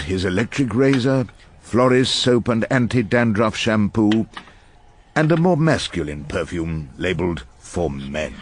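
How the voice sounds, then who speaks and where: A man's voice: low-pitched, slight background noise, heavily acted. An actor playing an irascible, witty elderly English barrister in a radio drama, pompous and ornate in his phrasing as he talks and narrates. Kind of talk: radio drama acting and wry first-person narration.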